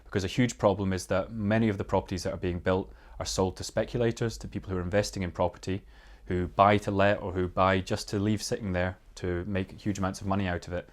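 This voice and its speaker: A man's voice: sits low, fairly even intonation, fast, hardly any pauses. A man giving an earnest political answer as a candidate, sometimes stumbling and restarting. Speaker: parliamentary candidate, NHS worker. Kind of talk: hustings answer, political speech.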